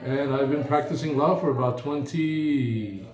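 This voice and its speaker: A man in his fifties from the United States, an attorney answering interview questions slowly with many pauses and fillers. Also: mid-range voice, roomy sound, crowd noise. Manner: slow, hesitant, many fillers.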